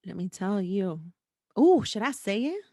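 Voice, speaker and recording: A woman talks candidly on a podcast in an informal, conversational voice at a middle pitch. She repeats phrases for emphasis and speaks off the cuff. The recording is dry, with very little room sound. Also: very animated, unhurried, warm tone, dry recording. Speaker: podcast host.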